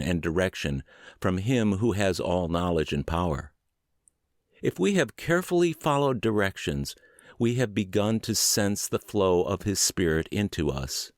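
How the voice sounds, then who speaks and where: A man reading an inspirational book aloud as a podcast narrator, with measured, deliberate and emphatic phrasing. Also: fairly low pitch, no echo, studio quality. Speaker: podcast narrator, audiobook reader.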